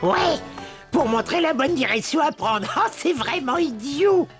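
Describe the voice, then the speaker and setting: A dopey, easily impressed little goblin henchman from a cartoon. The voice is high and goofy, always eager to follow the boss, with music clearly playing behind. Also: expressive. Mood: dopey amused. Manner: slow-witted, goofy, echoes his boss. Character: dim-witted male goblin sidekick, eager and easily impressed. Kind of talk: French cartoon dubbing.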